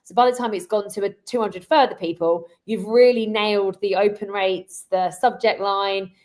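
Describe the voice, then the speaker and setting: A woman hosting a webinar in a conversational way, explaining things a little haltingly and repeating words. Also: medium pitch, fairly even intonation, darkish tone. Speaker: webinar host.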